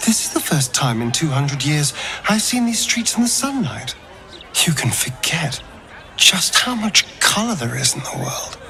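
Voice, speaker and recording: Aloof immortal dandy from a fantasy game, slow, lilting, soft music under it. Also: male voice, fairly high pitch, big pitch swings, gravelly, exaggerated voice. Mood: wistful.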